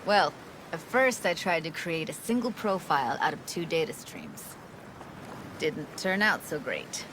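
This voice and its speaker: A self-assured young female tinkerer with a lowish voice. She talks in teasing, casual slang, in natural game-drama style. Watery ambient noise runs clearly behind her. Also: very animated, measured pace. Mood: reflective. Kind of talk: naturalistic video game acting.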